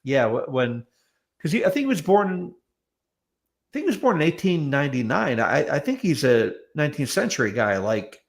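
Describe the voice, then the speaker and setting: A man's voice: fairly high pitch, sing-song, normal speed, vocal fry. Middle-aged man in wry, casual chat. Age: middle-aged or older.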